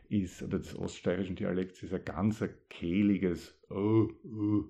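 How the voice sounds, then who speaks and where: A man from East Styria with an East Styrian Austrian accent, a poet reading his dialect poems and then talking about them thoughtfully, with pauses. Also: low-pitched, creaky, mellow. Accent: East Styrian Austrian German.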